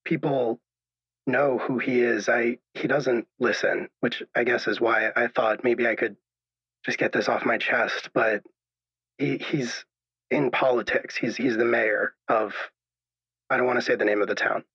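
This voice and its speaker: A man plays a troubled caller on a radio show, anxious and apologetic. His voice is rough and husky, breaking into hesitant stammers. The sound is dull and lo-fi. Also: medium pitch, fairly even intonation, a little slow, a bit bright. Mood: defensive, anxious. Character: anxious, troubled male caller unsure of his own reality. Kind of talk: improvised radio drama. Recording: dull lo-fi echoey call sound.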